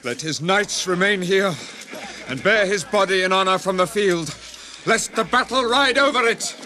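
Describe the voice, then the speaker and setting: Fierce young warrior lord, radio drama, declaiming, really high sharp voice, faint music under. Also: male voice, normal speed. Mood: grieving. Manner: declamatory, impassioned.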